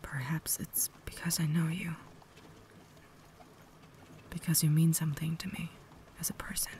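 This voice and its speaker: A woman's voice: low-pitched, flat delivery, warm tone, slight background noise. Whispering, cultured vampire lady acted by a woman. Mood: tormented, confessional. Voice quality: breathy whisper. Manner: formal, measured, earnest diction. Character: cultured, caring female vampire lady, restrained and tormented. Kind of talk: whispered ASMR roleplay acting.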